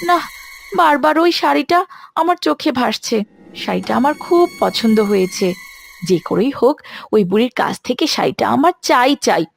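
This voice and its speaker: A woman's voice: fairly high pitch, lively intonation, normal speed, vocal fry, slight background noise. A woman voicing a greedy young village sister in a cartoon, pleading and insistent, with music behind her. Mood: covetous.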